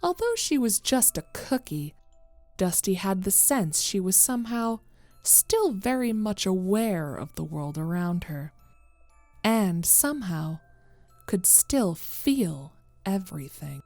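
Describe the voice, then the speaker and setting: A woman's voice: medium pitch, big pitch swings, slow pace, darkish tone, dry recording, faint music behind. A woman narrating a scripted horror story as its storyteller.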